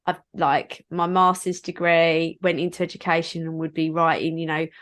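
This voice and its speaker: A woman's voice: low-pitched, level tone, normal speed, a bit bright. Chatty woman host in her thirties. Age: 30s. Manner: chatty, rambling, many fillers. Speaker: podcast host. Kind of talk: casual interview chat.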